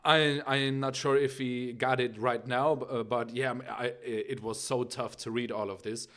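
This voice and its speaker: A man's voice: mid-range voice, fairly even intonation, moderate pace, a bit bright. A young man with a German accent, speaking English as a second language, hosting a casual music reaction video. He rambles with many fillers and keeps asking "right?".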